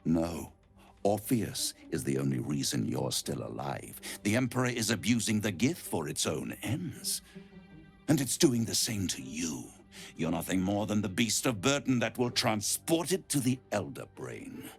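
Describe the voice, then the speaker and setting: A man voicing a suave, ancient, scheming devil in a video game, silky and grandiose, savouring words with dramatic pauses. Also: sits low, big pitch swings, creaky, faint music behind, full character voice. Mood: persuasive, scornful. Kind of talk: theatrical video game villain monologue.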